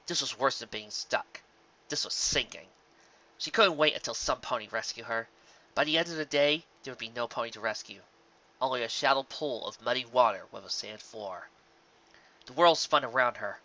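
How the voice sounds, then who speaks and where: A narrator reading a scripted story aloud with an expressive, dramatic storytelling delivery. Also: mid-range voice, normal speed, dull audio. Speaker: audiobook narrator.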